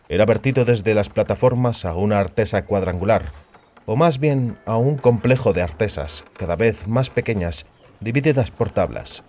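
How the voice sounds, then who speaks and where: A male audiobook narrator with a lowish voice. He reads literary fantasy prose as a scripted narration. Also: a little mellow.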